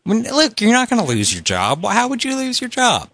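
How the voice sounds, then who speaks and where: A man's voice: very high voice, huge pitch swings, a little fast, fairly bright tone, no echo. Brash, crude male prank caller. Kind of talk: casual prank call chat.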